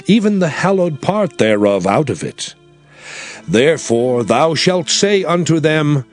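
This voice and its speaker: A man playing a solemn biblical lawgiver, declaiming commandments in a measured dramatized scripture reading. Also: medium pitch.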